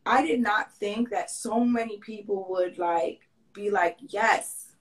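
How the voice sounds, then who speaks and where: A woman's voice: mid-range voice, takes it slowly, low-quality recording. Earnest woman talking in an interview. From Grenada. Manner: earnest, restarts often, trails off. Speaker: fashion designer guest. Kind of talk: casual interview conversation.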